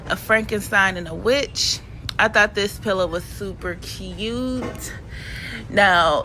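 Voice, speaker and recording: A woman's voice: medium pitch, sharp tone, slight background noise. Stuffy-voiced chatty woman vlogger. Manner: chatty, playful, slangy. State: stuffy and sniffling. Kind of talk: casual shopping vlog voiceover. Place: home, recorded voiceover.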